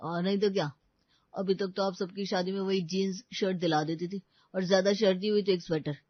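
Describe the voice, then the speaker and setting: Woman as young college lad, cheeky cartoon son, pleading, medium pitch, dry dull sound. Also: steady tone, fairly quick, no room sound, lightly acted.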